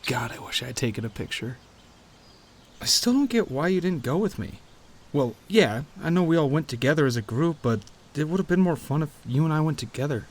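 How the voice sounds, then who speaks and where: A man playing a teasing, warm-hearted young friend in an audio roleplay, conversational and given to playful grumbling. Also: a little high, animated, brisk. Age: young adult. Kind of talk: audio roleplay acting, addressing the listener.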